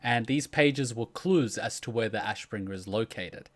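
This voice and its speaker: A man's voice: lowish voice, moderate pace. A man explaining video games in casual, informal chat, with some swearing.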